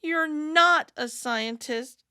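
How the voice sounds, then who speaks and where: A woman's voice: sits high, extremely slow, sharp tone, slight background noise, voice pushed up, exaggerated voice. A woman voicing a determined, easily exasperated young girl scientist from a storybook, emphatic and exclamatory. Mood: reflective.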